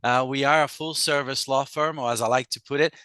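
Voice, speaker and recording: Guest lawyer, middle-aged man, slight Scottish accent, smooth bright tone, measured with many pauses. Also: mid-range voice, fairly even intonation, no room sound. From Brazil. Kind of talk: casual interview talk.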